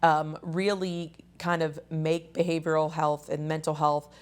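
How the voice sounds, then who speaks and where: Raspy-voiced woman hosting a health show. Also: low-pitched, flat delivery, a little slow. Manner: conversational, with frequent fillers. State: hoarse. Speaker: host. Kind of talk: health talk show interview.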